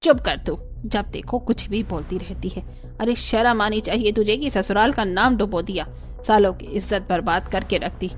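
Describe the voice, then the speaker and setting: A woman voicing an arrogant, sharp-tempered older mother-in-law in a cartoon story, haranguing indignantly with rhetorical questions, over music. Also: medium pitch, moderate pace, pitched below the performer's own voice, natural voice. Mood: furious. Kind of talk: Hindi cartoon story voice acting.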